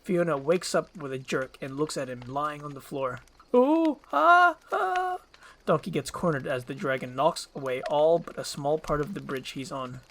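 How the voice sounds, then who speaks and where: A man's voice: fairly high pitch, steady tone, moderate pace, few pauses. A man reading scene directions from a screenplay as a storyteller, in scripted ASMR narration.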